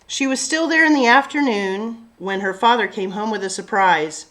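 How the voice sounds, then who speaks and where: A woman reading a picture book aloud to children, narrating expressively with deliberate, dramatic phrasing. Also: mid-range voice, a bit bright, low-quality recording. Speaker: children's story-time reader.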